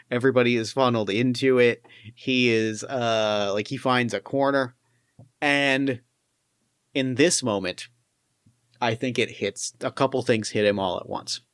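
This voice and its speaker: A man running a solo tabletop game, narrating his pilot's story in a reflective, halting way. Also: medium pitch, fairly even intonation, fairly bright tone, smooth, dry recording, clean studio sound. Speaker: game master.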